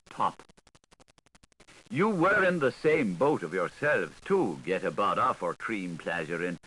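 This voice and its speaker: A man's voice: medium pitch, sharp tone, slightly rough, poor audio. A man reading literary fiction aloud as an audiobook narrator, in a theatrical, playful manner. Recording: older 1980s recording with audible noise.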